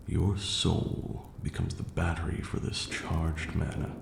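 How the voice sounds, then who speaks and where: A haughty, patient vampire lord, acted by a man for an ASMR roleplay, lectures like a pompous tutor of magic. The voice is deep and slow, with long deliberate pauses. It comes through with a clear background noise. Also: darkish tone, exaggerated voice. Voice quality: low, gravelly. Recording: roomy echo, audible background hiss.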